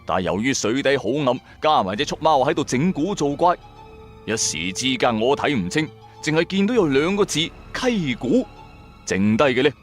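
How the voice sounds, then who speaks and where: Male narrator, mid-pitched, very animated intonation, dramatic colloquial horror storytelling. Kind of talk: first-person supernatural horror storytelling.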